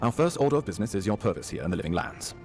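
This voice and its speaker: A man acts out a grave and dutiful imperial ambassador in a fantasy game. His voice is very low and moves quickly, with formal phrasing and wide swings of tone. Soft music sits underneath. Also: lively intonation, close to an everyday voice. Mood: formal, eager. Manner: formal, stately wording. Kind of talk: dramatic video game dialogue.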